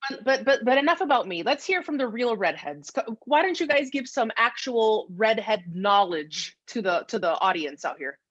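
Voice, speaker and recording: Slightly high voiced lady podcast host, playful mock drama, dull dry recording. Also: lively intonation, normal speed, few pauses, a bit bright, dry recording. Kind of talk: casual comedic podcast banter.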